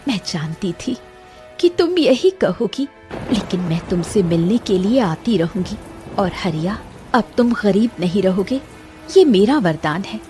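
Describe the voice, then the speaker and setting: A woman plays a mystical forest queen and devoted mother in a children's storybook tale. She speaks slowly, earnest and gentle, with music playing behind her. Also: mid-range voice, very animated. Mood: tender.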